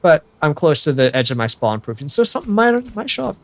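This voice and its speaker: A man's voice: a little high, very animated, fast talker, soft background music. A young American man chatting casually to viewers while he livestreams a building game, rambling and correcting himself as he goes. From United States. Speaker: streamer.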